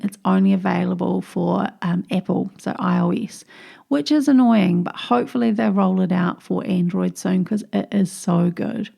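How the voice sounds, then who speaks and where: A woman's voice: medium pitch, gravelly, mellow. A woman with a New Zealand accent hosting her own podcast, explaining tips in an upbeat, chatty way. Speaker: podcast host. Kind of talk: solo podcast tips episode.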